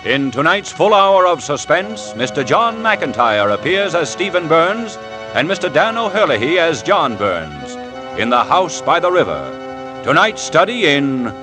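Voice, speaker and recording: Male 1940s radio announcer. Formal, theatrical, fairly high and lively, over music. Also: unhurried. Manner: dramatic, formal. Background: dramatic music.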